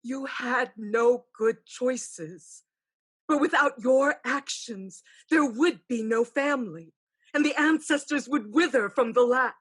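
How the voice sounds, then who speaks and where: A wise female elder spirit played by a woman in a staged play. She speaks slowly and deliberately in a lightly raised pitch. Her counsel is knowing and pragmatic, with a teasing edge. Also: fairly bright tone, breathy edge, heavily acted. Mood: consoling. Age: older. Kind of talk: staged play reading, dramatic and measured.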